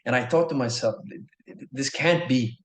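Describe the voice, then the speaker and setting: A man's voice: mid-range voice, moderate pace. A man in his thirties from Norway, telling his story as a podcast guest in an earnest, enthusiastic way, often repeating himself. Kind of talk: casual storytelling. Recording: echoey room.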